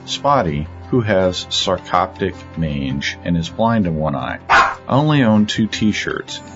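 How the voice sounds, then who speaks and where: A man playing a pathetic middle-aged loser in a parody advert testimonial, earnest and deadpan. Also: low-pitched, highs cut off.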